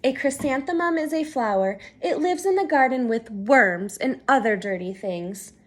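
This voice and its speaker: A woman's voice: sits high, expressive, normal speed. A woman voicing a snooty, teasing little schoolgirl in a prim, matter-of-fact storybook read-aloud. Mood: smug.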